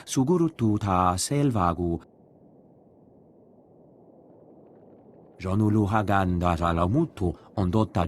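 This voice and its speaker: An actor playing an emphatic, expressive male alien in a video game, talking in an invented tongue. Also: low-pitched, husky, heavily acted. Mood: serious. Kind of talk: video game cutscene acting.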